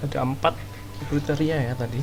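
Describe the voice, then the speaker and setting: A woman's voice: rumbling low, fast. A woman with an Indonesian accent giving casual, slangy commentary while playing a game. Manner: slangy, paraphrases and reads along. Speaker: gaming streamer. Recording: game music loud behind the voice.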